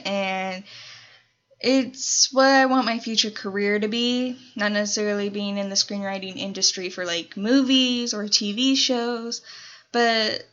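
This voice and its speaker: A young woman of nineteen talks through a casual question-and-answer video, in an unhurried, rambling way full of "so" and "um". Her voice sits at an ordinary middle pitch. The recording is a little dull and lo-fi. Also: fairly bright tone. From Colorado. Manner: chatty, rambling with many "so" and "um" fillers. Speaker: YouTuber. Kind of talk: casual Q&A vlog.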